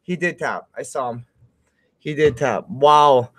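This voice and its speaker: A man's voice: mid-range voice, unhurried. A man co-hosting a sports broadcast with casual, exclamatory reactions, often repeating himself. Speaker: radio co-host.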